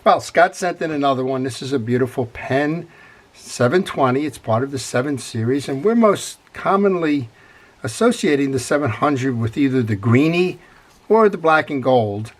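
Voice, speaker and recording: An older man with a head cold, congested, hosting a relaxed, rambling how-to on servicing a fishing reel at his workbench. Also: mid-range voice, normal speed. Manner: relaxed, rambling with frequent fillers. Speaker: fishing reel repair channel host. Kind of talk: casual how-to narration. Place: workshop bench.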